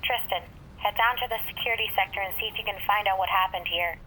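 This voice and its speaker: A woman's voice: mid-range voice, quick pace, pushed and bright, slight background noise, close to an everyday voice, poor audio. An actress in an audio drama playing a commanding, focused female warrior.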